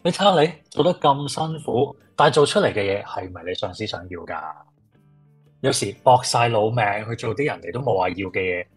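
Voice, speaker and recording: An easygoing man giving blunt, teasing advice as an old friend in a radio drama. His voice is a little low and rough, with a Hong Kong Cantonese accent. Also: lively intonation, a little fast, faint music behind.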